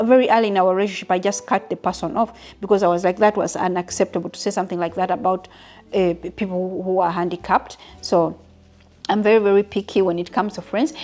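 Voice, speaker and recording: A woman's voice: medium pitch, steady tone, a little fast, a little mellow. A woman in her fifties from Uganda, chatting casually and openly with her viewers in a question-and-answer vlog, often stopping to rephrase. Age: 50s. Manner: chatty, frequent restarts and asides to viewers. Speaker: vlogger.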